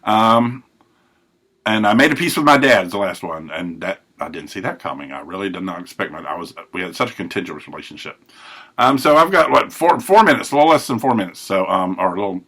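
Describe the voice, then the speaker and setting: A man hosting a recovery podcast, telling his life story in a rambling, self-correcting way with a big, loud voice. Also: low voice, expressive, a little fast, breathy edge. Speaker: podcast host. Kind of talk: personal recovery monologue.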